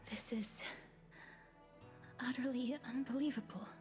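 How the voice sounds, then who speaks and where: An actress playing a gentle, earnest young woman in a scripted video game, measured and formal in speech. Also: fairly high pitch, steady tone, faint music behind, lightly acted. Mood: doubtful.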